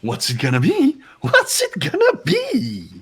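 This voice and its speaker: A man's voice: high voice, huge pitch swings, measured pace, a bit bright, breathy edge, faint music behind, pitched above the performer's own voice, exaggerated voice. A man voicing a gleefully sadistic game mascot villain with a theatrical, taunting, sing-song delivery. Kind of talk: hobby voiced game playthrough.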